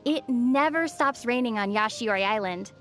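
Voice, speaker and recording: An actress playing a calm, sensible, gently teasing young traveler heroine in a video game. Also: female voice, fairly high pitch, normal speed, soft background music. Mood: calm, explanatory. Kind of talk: scripted video game dialogue.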